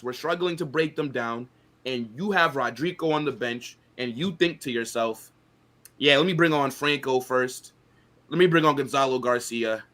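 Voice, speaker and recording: A man's voice: on the high side, sharp tone. A man in casual, opinionated football chat, hosting with slangy banter and emphatic rhetorical questions. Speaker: football show host and streamer.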